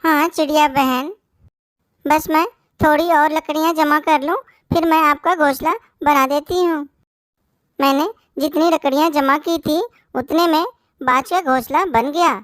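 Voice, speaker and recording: A woman voicing a kind, weary little female bird in a cartoon story, gentle and earnest. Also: sits high, steady tone, measured pace, dry recording. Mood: obliging. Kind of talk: Hindi cartoon story voice acting.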